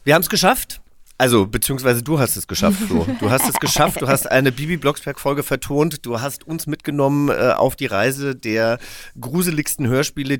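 A man's voice: mid-range voice, no room sound. A man hosting a podcast in casual, conversational German-show style, addressing guest and listeners. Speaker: podcast host.

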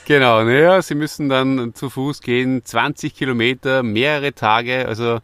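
A man's voice: medium pitch, steady tone, moderate pace, a bit bright, clean tone, no echo. A man with an Austrian accent chatting casually as a podcast co-host in a review.

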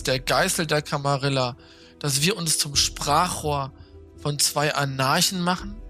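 A man's voice: fairly high pitch, close to an everyday voice. Man acting stern, condescending vampire enforcer. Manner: measured, condescending, formal. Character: stern, sardonic male vampire enforcer, stiffly formal. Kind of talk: German audio drama acting.

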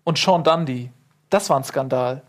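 A man's voice: medium pitch, moderate pace. A man hosting a casual football podcast, talking with ironic jokes and plenty of fillers. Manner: joking, ironic asides, many fillers. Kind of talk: casual chat.

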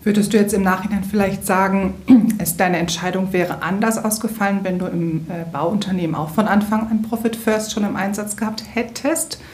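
A woman's voice: mid-range voice, fairly even intonation, normal speed, few pauses, darkish tone. Woman co-host in an echoey room. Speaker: podcast co-host.

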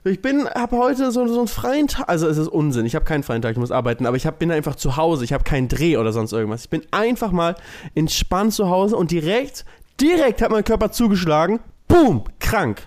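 A man's voice: a little high, very animated, brisk, darkish tone. A man co-hosting a podcast in casual, joking conversation. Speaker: podcast co-host. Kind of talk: casual comedic chat.

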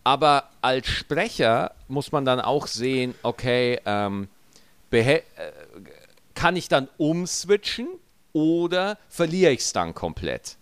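A man with a regional German dialect and a rolled R, telling stories in a relaxed, joking podcast chat. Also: medium pitch, takes it slowly, clear, resonant tone, no room sound. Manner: lively storyteller, joking. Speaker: podcast co-host. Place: studio, feet up on desk.

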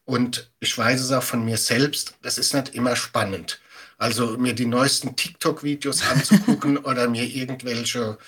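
A man's voice: medium pitch, steady tone, normal speed, a bit bright, breathy edge. A middle-aged man giving conversational, emphatic answers as an expert guest in an interview, explaining things with everyday examples. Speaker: media education expert guest.

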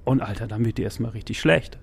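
A man in his forties chats as a guest on a podcast, loose and slangy, rambling through his thoughts with the odd laugh. His voice sits at an ordinary middle pitch. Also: fast talker, creaky, slight background noise. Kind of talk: casual podcast chat.

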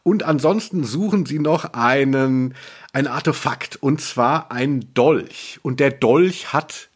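A man's voice: medium pitch, slow, breathy edge. A man co-hosting a podcast in casual conversation, talking in an ironic, rambling way. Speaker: podcast co-host. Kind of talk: casual chat, review of audio dramas.

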